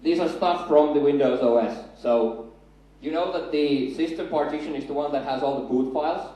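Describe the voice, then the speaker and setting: A man's voice: a little high, moderate pace, mellow. A man in his thirties with a Finnish accent, a native Finnish speaker, giving a chatty, self-deprecating conference talk with a handheld mic on a stage. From Finland. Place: large hall on a stage. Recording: echoey hall, far from the mic.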